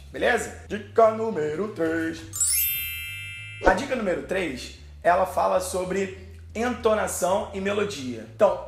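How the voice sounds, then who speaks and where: A man's voice: high-pitched, creaky, echoey room. A Brazilian man with a Brazilian Portuguese accent, teaching English pronunciation in a video lesson, posing questions and then answering them.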